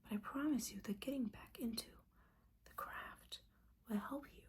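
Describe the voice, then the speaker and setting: A woman playing a disappointed, judgmental but caring witch mentor in a whispered, softly spoken ASMR roleplay, scolding with sassy, casual asides. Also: a little high, normal speed, close to an everyday voice. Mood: concerned, caring.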